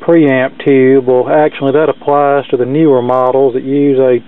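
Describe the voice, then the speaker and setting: A man's voice: mid-range voice, level tone, normal speed, clean tone. A man narrating a hands-on repair in a casual, plainspoken, matter-of-fact way, as the host of an electronics repair video. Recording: narrow phone-like lo-fi sound.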